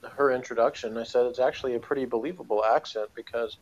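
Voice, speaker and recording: Man, from Texas, mid-range pitch, podcast co-host, informal episode discussion. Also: fairly even intonation, fast, lo-fi sound. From Texas, United States. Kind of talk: casual TV episode commentary chat.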